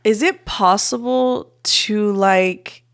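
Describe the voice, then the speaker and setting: A young woman talks in a loose, chatty way, her voice raspy and congested from a cold. She fills her talk with "like" and "you guys" as she co-hosts a relaxed family podcast. Her pitch sits at a middling level for a woman. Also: slow pace. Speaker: podcast co-host. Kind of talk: casual chat.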